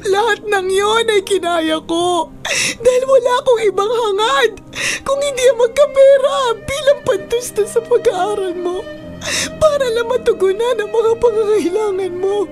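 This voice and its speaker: A very high-voiced, weary mother pleads with drawn-out emotion in a radio melodrama. She sounds anguished and self-sacrificing, with prominent music playing beneath her. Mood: tearful anguish. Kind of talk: Filipino radio melodrama acting. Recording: loud music under the voice.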